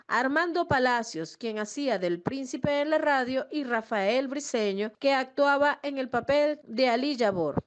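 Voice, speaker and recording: A woman giving scripted documentary narration, reading an old press report in a measured, formal storytelling way. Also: sits high.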